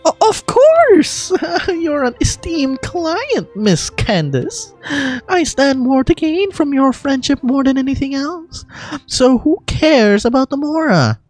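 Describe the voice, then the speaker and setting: A woman's voice: high voice, big pitch swings, takes it slowly, a little mellow, faint music behind, pitched above the performer's own voice, exaggerated voice. A woman voicing a wheedling, greedy female merchant from a video game, whiny and exaggerated in her haggling. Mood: gushing, strained. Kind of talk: fan voice-over of video game dialogue.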